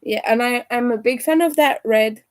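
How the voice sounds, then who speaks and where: A young woman with a raspy, hoarse voice, chatting casually with viewers as she crochets on a livestream. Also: on the high side, normal speed, a little mellow. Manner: chatty, talks to viewers while working. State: hoarse from streaming a lot. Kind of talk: casual chat while crocheting live.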